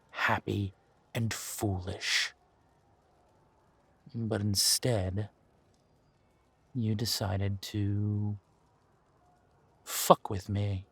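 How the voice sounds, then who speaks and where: A man playing a ruthless, mocking warrior villain in a fantasy audio drama, with a husky voice and a deliberate, taunting delivery. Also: lowish voice, dry recording, exaggerated voice.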